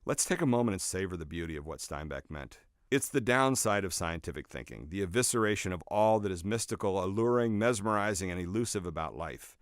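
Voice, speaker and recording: Man, lowish pitch, audiobook narration, measured storytelling delivery, emphatic phrasing, dry close studio sound. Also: animated, no room sound. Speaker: author reading own audiobook. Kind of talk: scripted narration, audiobook reading.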